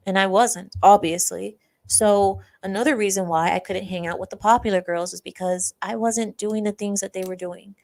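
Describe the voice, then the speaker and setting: A woman's voice: mid-range voice, darkish tone. A young woman hosting a livestream, telling a personal story in a chatty way, laughing often and reading out chat comments. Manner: chatty, laughs often, acts out quoted classmates. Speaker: livestream host.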